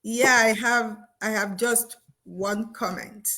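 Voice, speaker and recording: Slow-talking woman host. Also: fairly high pitch, fairly even intonation, noisy background. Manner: halting, friendly, many fillers. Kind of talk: interview over video call.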